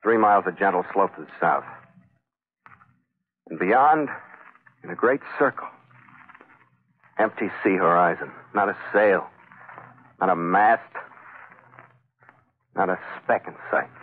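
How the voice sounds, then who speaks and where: An actor playing a weary, tough ship captain in 1940s radio adventure style, speaking in a terse, hard-boiled manner. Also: male voice, low-pitched, measured pace, pauses often, husky. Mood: grim. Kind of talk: 1940s radio adventure acting, first-person narration. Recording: 1940s radio broadcast, narrow band, music behind.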